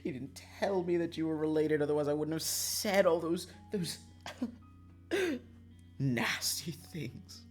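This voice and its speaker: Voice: mid-range voice, expressive, takes it slowly, soft background music. A streamer chatting casually on a livestream in a joking, self-mocking, dramatic way, swearing freely.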